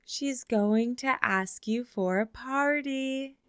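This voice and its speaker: A woman reading a children's picture book aloud in an expressive way, slowing down for the closing lines. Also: a little high, clear, resonant tone, dry recording, studio quality. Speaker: storybook reader. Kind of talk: children's read-aloud narration.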